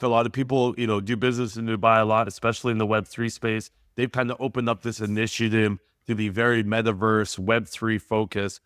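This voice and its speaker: A man's voice: fairly low pitch, fairly bright tone, smooth, no room sound, studio quality. A man hosting a podcast interview, asking long multi-part questions. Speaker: podcast host.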